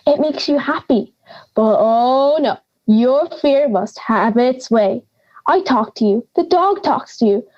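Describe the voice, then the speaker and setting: A woman's voice: sits high, highs cut off. A young woman playing a feisty, blunt granny of ninety in an audio drama, scolding and sarcastic. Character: feisty, blunt ninety-year-old granny living in the woods.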